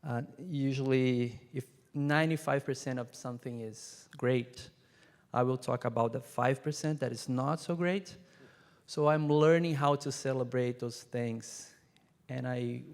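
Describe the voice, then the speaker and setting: Man from Brazil, earnest panel speaker; gravelly, even-toned, hall reverb. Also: medium pitch. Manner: earnest, measured, lists ideas point by point. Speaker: conference witness speaker. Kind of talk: reflective closing remarks on stage. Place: large conference hall stage. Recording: hall reverb from a large room.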